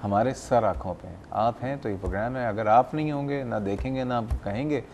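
A man's voice: mid-range voice, brisk, darkish tone. A man in his thirties with a Pakistani accent, chatting courteously as host of a live TV call-in show. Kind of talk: conversational live TV hosting. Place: TV studio at a desk. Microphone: lapel mic. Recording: clear background noise.

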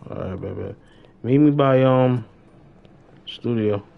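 A man's voice: mid-range voice, slow, vocal fry. A young man chatting casually on a gaming livestream in slangy, profane street talk. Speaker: game livestreamer. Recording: dull lo-fi mic with noise.

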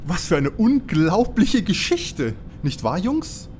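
An actor playing a naive, easily worried young detective in a parody audio play. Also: male voice, sits high, sing-song, takes it slowly, a little mellow, slight background noise, full character voice. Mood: naive, uneasy.

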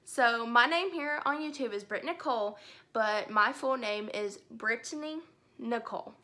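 A woman's voice: a little high, a little slow, sharp tone. A woman in her twenties from Alabama chatting casually to camera about herself in a home room. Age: 20s. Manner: chatty, upbeat, enthusiastic. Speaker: book vlogger. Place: indoor room with bookshelves.

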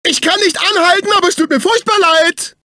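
A man's voice: very high voice, steady tone, a little fast, sharp tone, no echo, studio quality. A male actor voicing a fussy, snobbish, servile executive assistant in a dubbed cartoon video game, with a prissy, condescending, exclamatory delivery. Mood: distressed, whiny. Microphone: close studio microphone.

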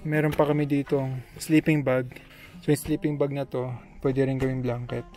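A man's voice: mid-range voice, little pitch movement, normal speed, warm tone. A man casually walking through the family's camping gear item by item in a vlog, recorded outdoors at a forest campground on a handheld camera. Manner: listing gear item by item, explaining each. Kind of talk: casual show-and-tell vlog. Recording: background music under the voice.

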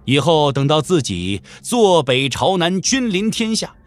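A man delivers dramatic scripted narration for a wuxia audio drama. His voice sits a little high and moves widely in pitch as he tells the story. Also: sing-song, unhurried, soft background music.

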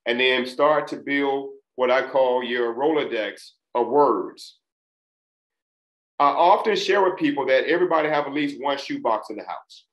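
A man of Trinidadian family teaching a recorded test prep lesson, speaking deliberately and enunciating each syllable. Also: medium pitch. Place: small room, indoors. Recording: echoey room.

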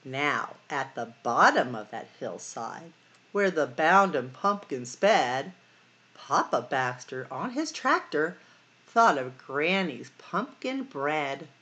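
Female storytime host, middle-aged. Unhurried, very lively intonation, dramatic sing-song delivery for young listeners. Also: low-pitched. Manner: sing-song, dramatic emphasis and drawn-out words. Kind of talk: expressive picture-book read-aloud for children.

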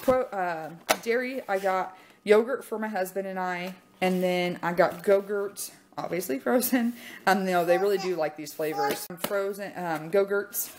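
A young woman chatting casually in her home kitchen, upbeat and full of "um" pauses as she walks through her grocery haul. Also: mid-range voice, a little mellow, a little hiss or hum. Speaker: vlogger showing a grocery haul. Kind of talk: casual chat.

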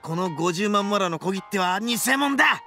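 Brash, high-pitched young oni boss. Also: male voice, lively intonation, normal speed, sharp tone, soft background music. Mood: dramatic deduction. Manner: rough, swaggering, melodramatic speech. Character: brash, boastful, dim but big-hearted young male oni gang boss. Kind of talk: Japanese game voice acting.

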